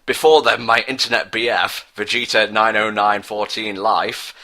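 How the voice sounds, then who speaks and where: A man's voice: fairly low pitch, fairly even intonation, normal speed, bright, forceful tone. A man reading a story aloud as narrator, in a mock-dramatic style. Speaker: narrator, fan fiction reader.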